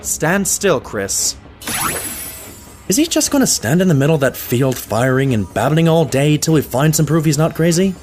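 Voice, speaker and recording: A performer voices a fussing male pony medic in a cartoon drama. The voice swings widely in pitch, caring but exasperated, over faint music. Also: very animated, normal speed, close to an everyday voice. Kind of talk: fan audio drama acting.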